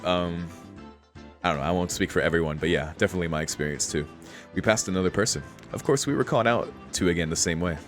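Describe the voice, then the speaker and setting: A man's voice: low voice, quick pace. A man streaming a visual novel, reading the text aloud and adding laid-back, informal asides. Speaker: let's play streamer. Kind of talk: reading game text aloud with casual commentary. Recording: faint game music behind the voice.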